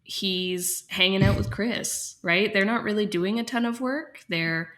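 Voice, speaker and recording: A woman's voice: medium pitch, a little slow, a bit bright, lightly acted. A woman playing a concerned, slightly overwhelmed special education teacher, talking candidly and hesitantly in naturalistic dialogue. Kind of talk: naturalistic acted interview dialogue.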